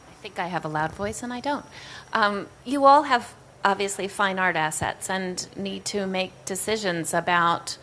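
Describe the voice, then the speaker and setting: A woman's voice: mid-range voice, moderate pace, a bit bright, a little hiss or hum. A woman in the audience asking a long, winding question with many fillers. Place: conference hall audience.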